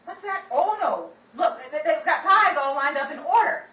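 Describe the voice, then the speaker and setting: A roomy, noisy recording carries a woman acting as a sassy, indignant 1930s radio dame. Her high voice swoops about as she wisecracks and stammers her objections in old-time comedy style. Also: lively intonation, normal speed, sharp tone. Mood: flustered protest. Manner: sassy, stammering when flustered. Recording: roomy, distant, noisy with crowd behind.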